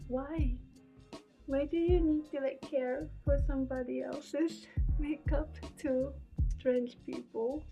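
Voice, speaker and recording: A young woman vlogging casually at home, talking to camera with a hoarse morning voice and often dropping into soft whispers. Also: sits high, steady tone, slow. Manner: sleepy, drifts into soft whispers. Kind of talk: casual vlog chat to camera. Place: small home apartment indoors. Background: background music.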